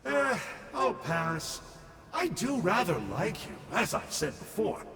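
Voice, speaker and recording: An actor playing a smug, theatrical villain scholar in a video game, with a teasing, mock-dramatic drawl. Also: male voice, high-pitched, big pitch swings, takes it slowly, gravelly, a bit bright, roomy sound, in a large hall, slight background noise, exaggerated voice.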